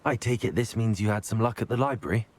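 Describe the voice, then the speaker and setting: A man playing an earnest, steady teenage wizard student in a video game, measured and polite. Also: on the low side, natural voice. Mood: calm, curious. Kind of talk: video game cutscene acting.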